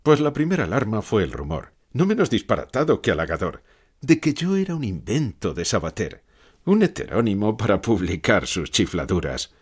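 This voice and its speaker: A man acts out an old philosopher answering questions in a dramatized literary interview. The voice is low and very animated in its melody. He reflects with gloomy irony and leans on his words with sardonic emphasis. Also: breathy edge. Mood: wry amusement.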